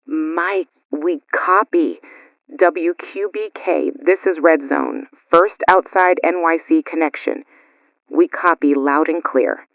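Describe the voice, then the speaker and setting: A man playing an earnest survivor and radio co-host in a radio drama, speaking deliberately. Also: a little high, a bit bright, no echo, muffled recording. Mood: businesslike. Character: earnest male radio co-host, anxious yet hopeful survivor.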